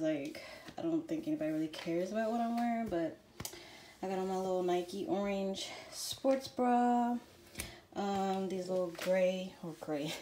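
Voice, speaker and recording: A woman's voice: mid-range voice, warm tone, a little hiss or hum. A young woman chatting casually to her phone camera at home and in her car, rambling with lots of "like" fillers. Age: young adult. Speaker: vlogger. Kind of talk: casual vlog chat. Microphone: handheld phone camera.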